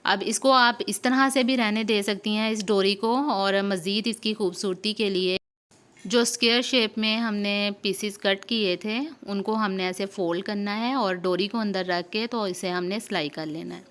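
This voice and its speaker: A woman with a smooth, clear voice at an ordinary pitch hosts a hands-on tutorial. She explains things step by step in an informal way. She often goes back over a step and checks that the listener is following. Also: steady tone, moderate pace, a bit bright. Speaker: sewing tutorial host. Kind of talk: informal step-by-step instructional narration.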